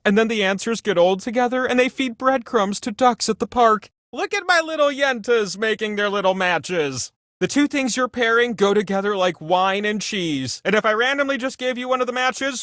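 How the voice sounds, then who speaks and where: A sassy giant wheel hosts a video game trivia show in a very high, bright voice. The delivery is campy and exuberant, swooping widely in pitch, with drawn-out theatrical phrases and chatty asides. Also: male voice, no room sound, studio quality.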